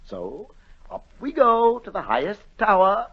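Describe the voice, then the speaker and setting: A dithering, self-important king in an old radio play. His husky voice swings hugely up and down with theatrical command. The recording is dull and dated. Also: sits high, wildly swooping pitch, measured pace, full character voice. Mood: pompous, dithering. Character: fretful, self-important king, reluctantly ordering executions. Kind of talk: 1940s radio acting.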